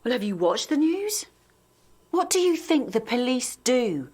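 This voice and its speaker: A woman's voice: high voice, big pitch swings, a little slow, a little mellow, husky, exaggerated voice. A woman playing a protective, guarded, worldly-wise friend in a naturalistic audio drama. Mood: guarded, bitter.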